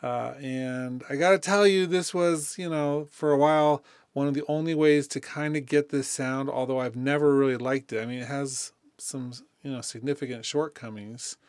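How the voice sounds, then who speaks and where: A man presenting a music production tutorial in a casual, informal way, thinking aloud as he demonstrates software. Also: medium pitch, steady tone, normal speed, hardly any pauses, clean tone, no echo, clean studio sound.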